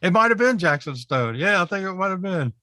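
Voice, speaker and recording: A man's voice: high-pitched, big pitch swings, fairly quick, pushed and bright, dry recording, studio quality. A man in his forties, a wrestling promoter, chatting in an easygoing, agreeable way on a podcast. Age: 40s. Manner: easygoing, agreeable, chuckles often. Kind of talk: casual podcast chat.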